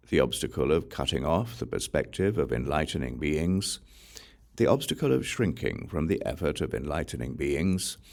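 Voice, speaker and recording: A man reading Buddhist scripture as an audiobook narrator, in a measured, solemn recitation. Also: extremely low pitch, darkish tone. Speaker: audiobook reader.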